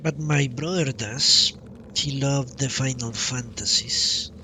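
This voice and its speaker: A man's voice: medium pitch, takes it slowly, bright, forceful tone, a little hiss or hum, poor audio. A man streaming a video game, casually thinking aloud as he comments on his play. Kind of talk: live gameplay commentary, casual. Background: prominent game music.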